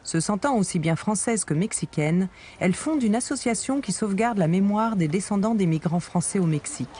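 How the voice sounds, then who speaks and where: A man giving calm, measured scripted narration for a documentary. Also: high-pitched, slight background noise.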